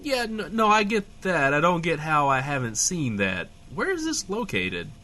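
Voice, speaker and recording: Bright-voiced, baffled radio host played by a man. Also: fairly high pitch, very animated, normal speed. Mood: bemused. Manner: hesitant, bemused. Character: baffled, polite male small-town radio host. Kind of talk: comedic radio-play interview.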